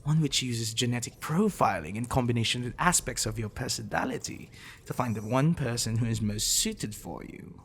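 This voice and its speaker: A man playing a possessive, smitten professor in an intimate ASMR roleplay, wordy and clinical, with teasing, musing pauses. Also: medium pitch, expressive, gravelly, a bit bright, a little hiss or hum. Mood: lecturing, smitten.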